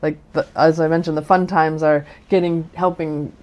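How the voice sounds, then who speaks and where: A woman's voice: deep voice, little pitch movement, moderate pace, a little mellow. A woman in her forties with an American Midwest accent, a chaplain speaking thoughtfully and earnestly in an interview. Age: 40s. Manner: earnest, thoughtful, with frequent restarts. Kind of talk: reflective interview conversation.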